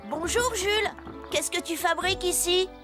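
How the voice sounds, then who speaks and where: A woman's voice: extremely high pitch, normal speed, over music. A woman voicing a friendly, dutiful young male tractor in a children's cartoon, earnest and polite. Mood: bright, eager. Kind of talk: French children's cartoon dub.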